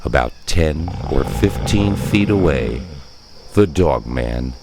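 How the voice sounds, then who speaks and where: A man's voice: very deep voice, animated, slow, noisy background. A man reading a scripted first-person scary story as a narrator.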